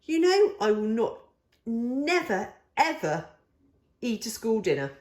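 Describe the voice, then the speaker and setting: A woman's voice: on the high side, very animated, slow pace, darkish tone, roomy sound. A woman voicing a fussy, imaginative little girl in a storybook, with emphatic, wordy, childlike phrasing. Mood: defiant.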